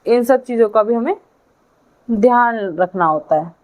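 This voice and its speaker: A woman with an Indian accent giving a lecture to students, speaking in short halting phrases and checking in with her listeners. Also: on the high side, animated, a little mellow. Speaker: physiotherapy lecturer.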